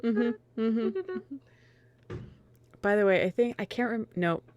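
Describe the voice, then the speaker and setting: A woman's voice: fairly high pitch, very animated, slow, darkish tone, no room sound. A woman reading fan fiction dramatically and chatting casually with her co-readers between lines. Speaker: reader in a livestreamed group fanfiction reading.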